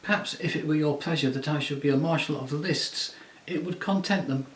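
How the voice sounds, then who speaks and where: A man's voice: fairly high pitch, a little fast, voice pushed up, close to an everyday voice. A man voicing a noble, courtly male talking mouse who speaks with formal, chivalrous pride. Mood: earnest. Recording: roomy echo.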